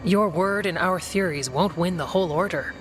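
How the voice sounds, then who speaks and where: A woman's voice: fairly low pitch, normal speed, slight background noise, natural voice. An actress playing a practical female warden in a fantasy video game, matter-of-fact and dry.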